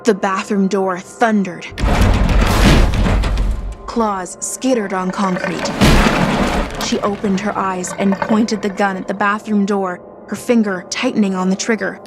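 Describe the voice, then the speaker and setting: A woman narrating a scripted horror story in a dramatic, suspenseful manner. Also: mid-range voice. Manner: slow, dramatic, suspenseful delivery. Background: music.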